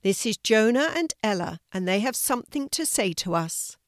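A woman's voice: medium pitch, big pitch swings, normal speed, no echo, pitched above the performer's own voice, lightly acted. Woman doing earnest snake guy from a kids story, running the meeting, orderly and pleading, dry sound. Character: earnest male snake chairing animal meetings.

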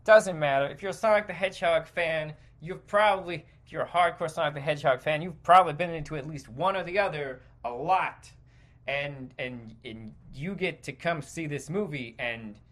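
A man's voice: on the high side, a bit bright. A man hosting a podcast, delivering an exasperated, sarcastic, stream-of-consciousness rant with casual swearing. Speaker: podcast host.

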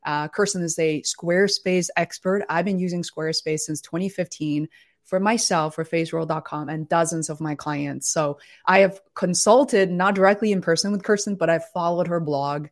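A middle-aged woman hosting a live interview, enthusiastic and a bit halting with fillers. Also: on the low side. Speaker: host.

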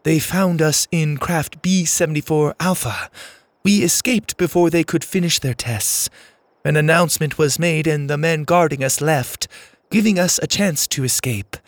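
A man's voice: on the high side, moderate pace, no room sound, voice pushed up, close to an everyday voice. A man voicing a desperate young girl who solemnly pretends to be an alien, with clipped, pleading speech. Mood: urgent.

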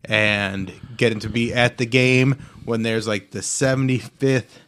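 A man's voice: medium pitch, steady tone, measured pace, a bit bright. A man hosting a podcast in casual chat, talking informally like an enthusiastic sports fan. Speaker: podcast host.

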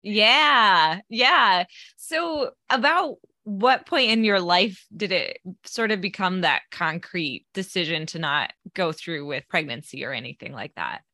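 A woman's voice: fairly low pitch, lively intonation, unhurried, bright, forceful tone, no echo, studio quality. A woman hosting a podcast as a life coach, welcoming her guest and asking interview questions in an upbeat, friendly way.

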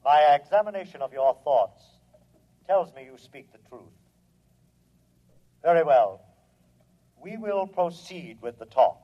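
A man playing an imperious telepathic alien robot in an old-time radio drama, speaking formally and deliberately. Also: fairly high pitch, lively intonation. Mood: cold, imperious. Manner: formal, measured, deliberate speech. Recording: dull vintage radio recording.

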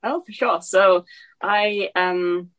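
A woman's voice: mid-range voice, slow, fairly bright tone. A woman in her twenties with an English accent tinged with Scottish, answering interview questions hesitantly, with many fillers and restarts. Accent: English with a slight Scottish tinge. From England. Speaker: guest.

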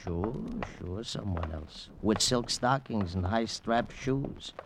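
An actor playing a gruff, nosy working-class janitor in an old-time radio crime drama, grumbling in slangy phrases and stalling with stammers. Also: male voice, on the low side, lively intonation, takes it slowly. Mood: grudging, shifty. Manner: grumbling, colloquial, stammering "uh" when thinking. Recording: noisy vintage radio recording with clicks.

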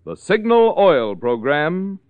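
A man's voice: a little high, sing-song, takes it slowly, phone-quality sound, heavy background noise. A man with an American accent announcing a radio program in a formal, theatrical 1940s style.

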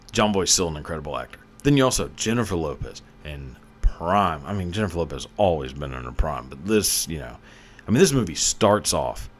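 A low-voiced man hosts a podcast alone and talks loosely and jokingly, with plenty of "um"s and swearing. A faint hiss sits behind his voice. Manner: rambling, joking, profane, with frequent fillers. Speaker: podcast host. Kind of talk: casual solo commentary.

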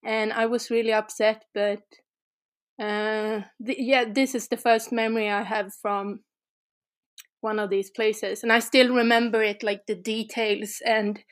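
A woman's voice: fairly high pitch, level tone, unhurried. A Swedish woman guest speaking English in an interview, with a hesitant, thoughtful manner. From Sweden. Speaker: guest, urban explorer. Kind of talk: interview conversation.

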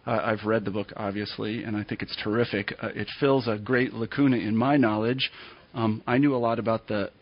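Husky-voiced male podcast host, slightly dull sound. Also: lowish voice, fairly even intonation, fairly quick, no room sound. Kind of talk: conversational podcast hosting. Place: remote interview call from Iowa.

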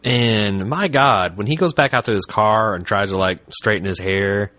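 A man's voice: on the low side, moderate pace, pushed and bright, dry recording. A man co-hosting a podcast, animatedly picking apart a TV episode with analytical remarks and casual swearing. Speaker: podcast co-host.

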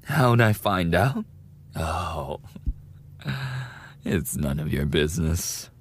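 A man's voice: low-pitched, very animated, slow, slight background noise, exaggerated voice. A man playing a cruel, mocking teenage delinquent bully in a dramatic roleplay audio, with a taunting, sneering, menacing delivery.

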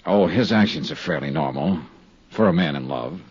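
Cool radio detective, swooping low voice. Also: male voice, animated, creaky, a little mellow, slightly rough, no echo, full character voice. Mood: calm, courteous. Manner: measured, insinuating, courteous questioning. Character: cool, probing male insurance investigator. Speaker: radio drama actor playing the lead detective. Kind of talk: 1950s radio drama acting. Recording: dull, dry 1950s radio recording.

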